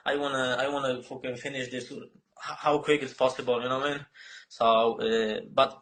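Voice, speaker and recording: A man in his twenties with a Polish accent, a fighter answering interview questions in halting, broken English full of fillers and swearing. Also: medium pitch, little pitch movement, a bit bright. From Poland. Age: 20s.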